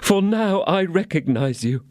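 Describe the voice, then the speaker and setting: A man's voice: high voice, animated, unhurried, a little mellow, no echo, studio quality, pitched above the performer's own voice. A man voicing a frightened, fawning innkeeper who pleads in a lamenting tone. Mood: pleading. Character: trembling fawning middle-aged male innkeeper.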